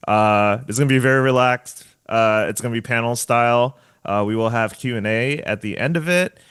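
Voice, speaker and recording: Man, workshop host, upbeat, playful, smooth clear voice, dry close sound, video call. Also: mid-range voice, moderate pace, a bit bright, no echo. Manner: upbeat, laughs often, playful. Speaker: community manager, workshop host. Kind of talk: casual online workshop hosting.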